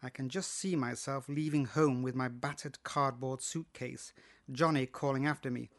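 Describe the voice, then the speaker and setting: A man's voice: mid-range voice, normal speed. A British man reading a first-person short story as its narrator. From Britain.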